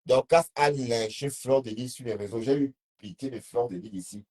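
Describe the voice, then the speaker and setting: Husky man with a Cameroonian accent. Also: mid-range voice, moderate pace, dark tone. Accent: Cameroonian French. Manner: reads viewer comments and answers them, rambling with restarts. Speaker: live streamer. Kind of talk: casual live-stream talk to viewers.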